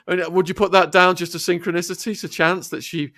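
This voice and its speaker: A man hosting a podcast, reading viewer questions to his guest in a measured way. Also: high-pitched, fairly even intonation, bright, forceful tone. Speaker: podcast host.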